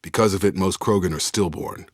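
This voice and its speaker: A man playing a steadfast, guarded space soldier in a video game, speaking in a measured, plain-spoken, earnest way. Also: low-pitched, dry recording, studio quality. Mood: guarded, matter-of-fact. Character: steadfast young male space soldier, guarded, duty-minded. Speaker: squadmate in a science fiction game. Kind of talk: video game voice acting, naturalistic dramatic dialogue.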